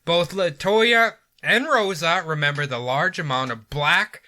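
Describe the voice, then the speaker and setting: A man's voice: fairly high pitch, unhurried, bright, forceful tone. A man hosting a casual podcast, telling a paranormal story from notes with frequent laughs and jokey asides. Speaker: podcast host.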